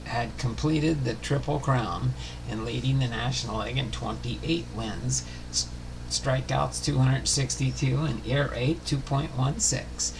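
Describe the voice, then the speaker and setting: A man reading a scripted sports history narration aloud, haltingly, often stumbling and correcting himself. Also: medium pitch, vocal fry, background noise. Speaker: host of a sports history show. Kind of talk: scripted narration read aloud, baseball biography.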